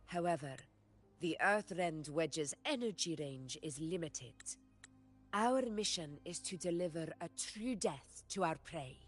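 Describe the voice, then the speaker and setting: A woman playing a composed, solemn warrior leader with measured authority in a fantasy game. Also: lowish voice, expressive, soft background music. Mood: solemn, resolute. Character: composed, commanding female warrior leader briefing a hunt. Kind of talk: scripted fantasy game dialogue.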